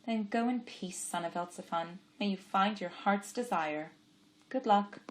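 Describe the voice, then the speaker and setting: A woman voicing a kind, gracious young woman with a warm way of speaking. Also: mid-range voice, a little slow, pitched above the performer's own voice, close to an everyday voice.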